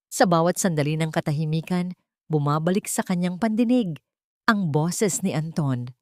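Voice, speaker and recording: Filipino woman narrating, low expressive voice. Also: no room sound, clean studio sound. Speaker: storyteller. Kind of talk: scripted dramatic story narration.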